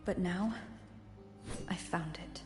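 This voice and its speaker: A woman's voice: on the low side, takes it slowly, over music. Echoing, thoughtful young woman adventurer. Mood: calm, pensive. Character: calm, thoughtful young female wandering adventurer. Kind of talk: video game dialogue. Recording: echoey reverberant game audio.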